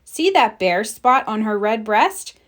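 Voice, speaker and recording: A woman voicing a calm, devout grandmother in a storybook, patiently explaining and reassuring. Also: on the high side, measured pace. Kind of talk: storybook read-aloud character voice.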